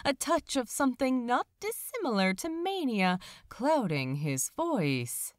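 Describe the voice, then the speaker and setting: A woman narrating fan fiction as a dramatic audiobook, with deliberate phrasing. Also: a little high, sing-song, no room sound, clean studio sound.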